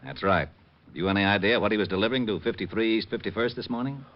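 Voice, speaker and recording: An actor playing a gruff, weary male homicide lieutenant in an old radio drama, terse, exasperated and businesslike. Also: fairly low pitch, fast talker, lightly acted. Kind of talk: 1940s-50s radio detective drama acting. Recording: dull old-time radio recording.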